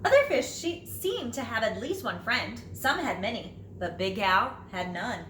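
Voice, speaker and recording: A woman reads a children's picture book like a teacher to her pupils. Her voice is fairly high and bright, with dramatic, drawn-out phrasing. The recording is roomy and echoey. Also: very animated. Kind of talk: animated children's storybook read-aloud. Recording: roomy echo, light background noise.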